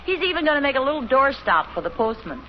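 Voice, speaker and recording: An actress playing a pleasant, chatty, gently teasing American suburban mother in a 1950s radio sitcom, with an easygoing conversational manner. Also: high voice, very animated, fast. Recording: muffled old radio recording, faint noise.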